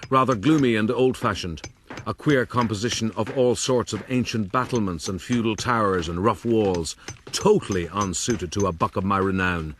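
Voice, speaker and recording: An actor playing a vain, self-satisfied gentleman adventurer, pompously narrating his own rise in a radio drama. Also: lowish voice, moderate pace, background noise, lightly acted. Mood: haughty. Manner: pompous, formal. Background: music.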